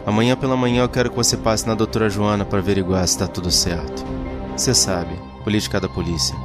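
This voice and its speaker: A man's voice: fairly low pitch, steady tone, fast, over music, close to an everyday voice. A man playing a businesslike police superior giving orders, with a Brazilian accent, in an audio drama.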